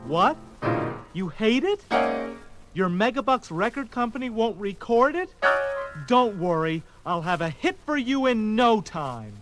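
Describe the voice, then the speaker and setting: A man playing a harried but kindly struggling songwriter, earnest and expressive, on a children's story record. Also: high-pitched, a little slow. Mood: anxious, eager. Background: music.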